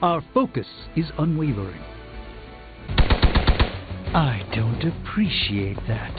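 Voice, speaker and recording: An actor playing a pompous, learned sorcerer in a video game, speaking in a grandiose, lecturing way. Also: male voice, mid-range voice, sing-song, slow pace. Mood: grave. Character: pompous, theatrical, learned male sorcerer and mystic. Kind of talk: theatrical video game dialogue. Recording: thin phone-like band, music behind.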